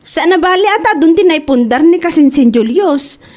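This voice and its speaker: A woman performs a nosy, talkative young female cousin in a comedy radio drama. Her voice is high, with an Ilocano Filipino accent. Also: expressive, moderate pace, a little mellow. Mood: chatty, curious. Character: Veron, chatty inquisitive young female cousin.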